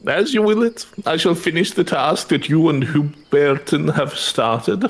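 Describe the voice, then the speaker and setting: A man's voice: a little high, unhurried. A man voicing a grandiose mystic from a fantasy game, theatrical and solemn in archaic incantation. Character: grandiose male mystic who enchants ancient relic weapons. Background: game music.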